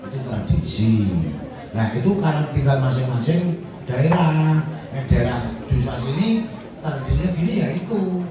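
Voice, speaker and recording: A man's voice: mid-range voice, lively intonation, normal speed. An older man with a Javanese accent explains things to a room of students, rambling and folksy, and counts off his points one by one. He is heard from far off in a very echoey hall, with a crowd murmuring around him, and the sound is dull. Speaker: Samin community elder answering students. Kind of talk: informal explanatory talk to students.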